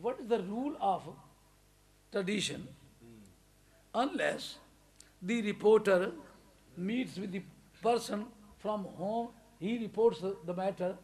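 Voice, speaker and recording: A man's voice: high voice, slow pace, long pauses. A man from Pakistan with a Pakistani accent, preaching an English sermon to a congregation with emphatic stresses and frequent chuckles. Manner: emphatic, rising to loud stresses, chuckles between points. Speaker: religious preacher.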